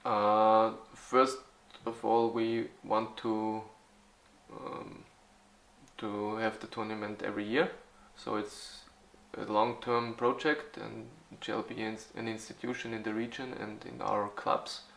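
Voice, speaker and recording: A man in his forties from Austria, answering interview questions haltingly, with many fillers and restarts. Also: on the low side, level tone, vocal fry, a bit bright, echoey room. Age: 40s. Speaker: youth football club organizer.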